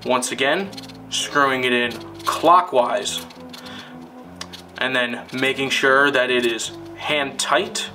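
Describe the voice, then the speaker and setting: A man narrating a scripted instructional film in a deliberate, formal, step-by-step manner. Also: mid-range voice, pushed and bright, far from the mic, faint music behind, rough recording.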